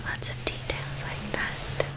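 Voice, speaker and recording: Woman acting a gentle witch in a breathy whisper. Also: close to an everyday voice. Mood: gentle, caring. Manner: soft, unhurried, doting, lingering on details. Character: gentle, nurturing witch helping a young student pack. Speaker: ASMR roleplay performer. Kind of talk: whispered ASMR roleplay.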